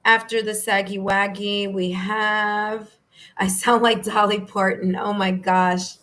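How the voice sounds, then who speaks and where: A woman with a New York accent and a slightly hoarse, raspy voice, hosting a live tarot stream in a friendly, chatty way. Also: mid-range voice, flat delivery, slow pace, a bit bright. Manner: friendly, chatty, laughs easily. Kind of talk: casual live-stream chat, greeting viewers.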